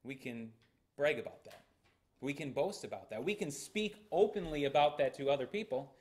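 A man's voice: fairly high pitch, animated, normal speed. A young man with an American accent preaching a sermon in a small church, earnest and emphatic, gesturing as he speaks. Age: young adult. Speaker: pastor. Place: small church sanctuary, indoors. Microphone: fixed camera at the front of the church.

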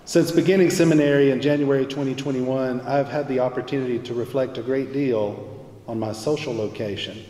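A man's voice: medium pitch, steady tone, brisk, warm tone. A man in his forties earnestly reading a prepared testimony from the pulpit of a large church. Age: 40s. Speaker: church music minister. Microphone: pulpit mic, far camera. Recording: echoey church from afar.

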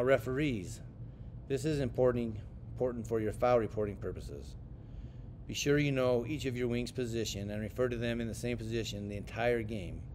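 An American man giving scripted training narration as an instructor for sports officials, in a measured, instructional way. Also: a little mellow. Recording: clear rumbling background noise.

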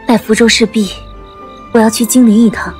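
A woman playing a reserved young martial disciple in an audiobook drama, gentle, hesitant and introspective. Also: on the high side, level tone, a little fast, faint music behind, natural voice. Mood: resolved, earnest. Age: young adult.

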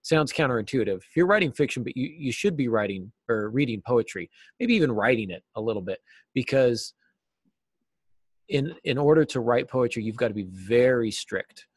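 A man's voice: medium pitch, expressive, normal speed, slightly rough, no echo. A middle-aged man talking casually as an interview guest on a video call, explaining things with examples and some self-deprecation. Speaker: interview guest, novelist and writing teacher. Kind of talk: casual interview conversation about craft. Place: small cluttered office, indoors. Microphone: video call, seated at a desk.

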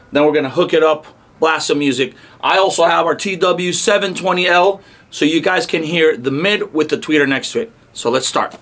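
A man's voice: on the high side, fairly bright tone. A man in his thirties hosting a casual product demo, talking to camera in short, punchy, enthusiastic phrases. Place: indoor car audio showroom. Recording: clear background noise.

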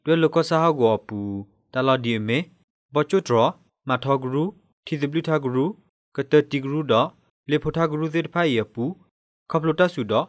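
A man's voice: a little high, moderate pace, dry recording. A man reading scripted radio news. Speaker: news reader.

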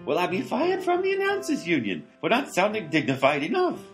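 A woman's voice: fairly low pitch, huge pitch swings, fairly quick, soft background music. A woman playing a melodramatic, silly radio serial announcer who reels off portentous questions. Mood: silly, dramatic.

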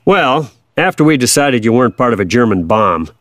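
A folksy older farmer reminisces as a patient, wry father in an audio drama. His talk is plain and homespun, recorded close and dry at a middling pitch. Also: very animated, fairly quick, fairly bright tone, dry recording.

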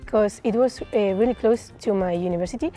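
Mellow young woman, reassuring. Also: mid-range voice, fast talker, soft background music. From northern Spain. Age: young adult. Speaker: student. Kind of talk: casual interview answers. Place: residence lounge, indoors.

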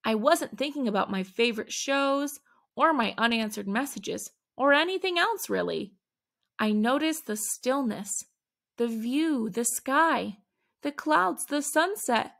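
Slow, bright-voiced woman reading aloud. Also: high voice, gravelly. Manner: animated, dramatic emphasis on exclamations. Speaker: children's book reader. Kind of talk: expressive read-aloud storytelling.

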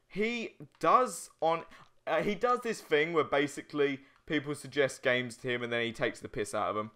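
A man's voice: a little high, normal speed, bright, forceful tone. A young man co-hosting a casual gaming video, bantering in a jokey way with crude humour. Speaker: let's play co-host.

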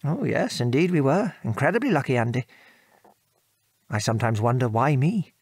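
A man's voice: mid-range voice, animated, normal speed, darkish tone, breathy edge, dry recording. An actor playing a modest, polite bomber airman in a radio drama, earnest and understated. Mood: reflective, humble.

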